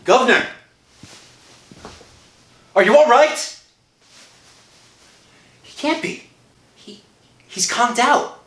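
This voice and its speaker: Broke guilt-ridden husband in old radio horror, slow and dramatic, roomy sound w music. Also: male voice, very high-pitched, expressive, a bit bright, exaggerated voice. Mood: agitated. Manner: melodramatic, emotive, swinging from murmur to outcry. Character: down-and-out, desperate unemployed husband, haunted and guilt-ridden. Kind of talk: 1960s radio horror drama acting, narrating and in character.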